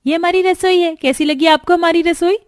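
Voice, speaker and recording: A woman's voice: very high voice, fairly even intonation, moderate pace, no room sound, studio quality, faint music behind, voice pushed up. A woman voicing a cheerful young sister-in-law in a family cartoon.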